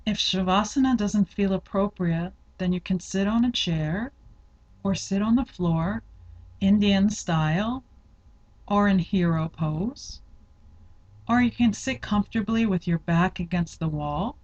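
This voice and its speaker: A woman leading a guided yoga nidra meditation, giving instructions in a measured, deliberate way with spaced-out phrases. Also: mid-range voice, a little mellow, muffled recording.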